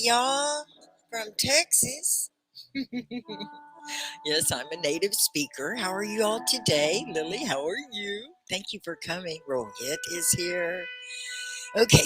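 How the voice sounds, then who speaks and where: A woman's voice: mid-range voice, sharp tone, poor audio. An American woman hosting a live English class, cheerfully greeting viewers by name and laughing often. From United States. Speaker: English teacher and livestream host. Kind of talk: livestream class chat. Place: home studio near Arkansas–Oklahoma border.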